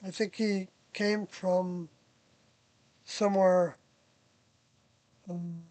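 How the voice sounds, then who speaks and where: An older person reminiscing casually about past experiences, hesitant and pausing often while searching their memory. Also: medium pitch, flat delivery, mellow, no room sound. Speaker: interviewee, former member of a group recalling past experiences.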